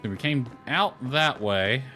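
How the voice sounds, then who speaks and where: A man's voice: medium pitch, expressive, unhurried. A man giving informal gameplay commentary as a streamer, muttering asides to himself as he plays. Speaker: gaming streamer. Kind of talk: casual gameplay commentary, reading game dialogue aloud. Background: music.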